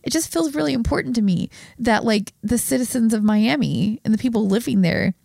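A woman co-hosting a podcast, hoarse from a cold, talking with passion and strong opinions. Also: fairly high pitch, brisk, darkish tone, no room sound, clean studio sound. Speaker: podcast co-host. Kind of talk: casual chat.

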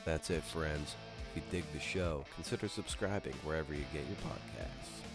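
An extremely low-voiced man delivers a scripted podcast sign-off as its host. He speaks informally, straight to the listener, with guitar music playing loudly behind him. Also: normal speed. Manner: informal, addresses listeners directly. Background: loud guitar music.

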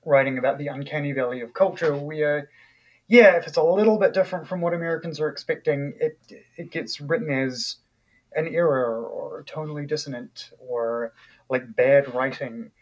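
A man's voice: on the high side, moderate pace, dull audio. A man from New Zealand with a New Zealand accent, an author talking casually in an interview, thoughtful and full of fillers and restarts.

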